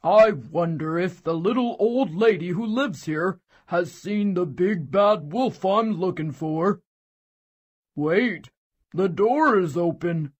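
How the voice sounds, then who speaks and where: A man's voice: sits high, slow, slightly rough, highs cut off, exaggerated voice. A man playing a determined hunter in a children's fairy tale, exclaiming and talking to himself. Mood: curious, triumphant. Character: determined hunter on the wolf's trail.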